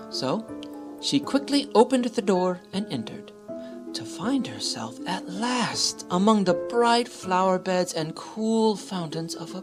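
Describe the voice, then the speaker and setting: A woman narrates a storybook tale in a low voice. Her delivery is scripted and very animated in pitch, with music clearly playing behind her.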